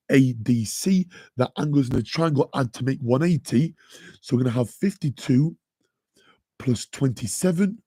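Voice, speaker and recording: A man's voice: warm tone, husky, dry recording. A man teaching a live maths revision lesson, chatty and filling his talk with "okay" and "guys". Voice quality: deep voice. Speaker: maths tutor.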